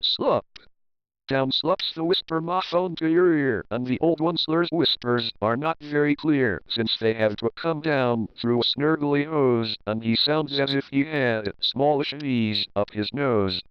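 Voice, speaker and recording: A man narrating a rhyming children's story as an expressive, theatrical read-aloud with deliberate phrasing. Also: mid-range voice, smooth, no echo, muffled recording.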